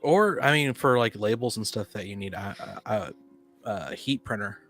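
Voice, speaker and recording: A man's voice: lowish voice, expressive, moderate pace, a bit bright, soft background music. A man co-hosting a casual group podcast chat, hesitant and often repeating and rephrasing himself. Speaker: podcast co-host.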